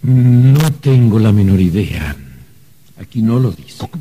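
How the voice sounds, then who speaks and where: An actor playing a fussy, anxious archangel clerk in a radio drama, theatrical and easily flustered. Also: male voice, fairly low pitch, expressive, takes it slowly, warm tone, slight background noise. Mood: stern. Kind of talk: Spanish-language radio drama acting.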